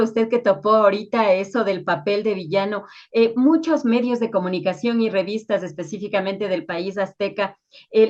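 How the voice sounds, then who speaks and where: A woman's voice: mid-range voice, a little mellow, dull audio. A woman interviewing a guest in a journalistic, conversational style.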